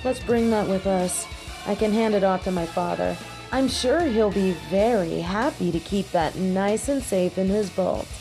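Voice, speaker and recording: A woman's voice: medium pitch, measured pace, warm tone, lightly acted, low-quality recording. A woman playing a fierce, protective and vengeful demigod with grandiose, menacing speech in an audio drama. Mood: smug, triumphant. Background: music.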